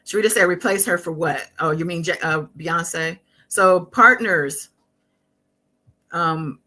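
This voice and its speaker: Bright-voiced woman chatting on a live stream. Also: low-pitched. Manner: conversational, halting, thinking aloud. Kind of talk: casual live commentary, reading and answering viewer comments.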